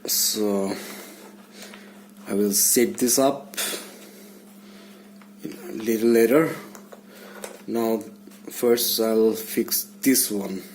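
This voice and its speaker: A man giving a casual hands-on tutorial, speaking haltingly and muttering to himself as he works indoors. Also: on the low side, steady tone, darkish tone. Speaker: DIY vlogger. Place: small indoor room. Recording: background noise, room ambience.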